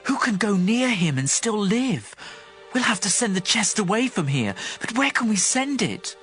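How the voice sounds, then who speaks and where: A man's voice: high-pitched, big pitch swings, normal speed, fairly bright tone, faint music behind. Anguished, frightened townsman, wildly swooping. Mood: fearful, distressed. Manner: anguished, pleading. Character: frightened, grieving townsman in awe of God. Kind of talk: dramatized audio Bible acting.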